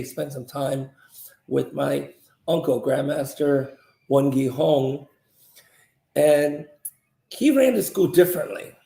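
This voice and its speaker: A man's voice: medium pitch, creaky, dark tone. A man in his fifties, originally from South Korea, a martial arts grandmaster answering interview questions in a rambling way with many fillers and restarts. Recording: roomy echo.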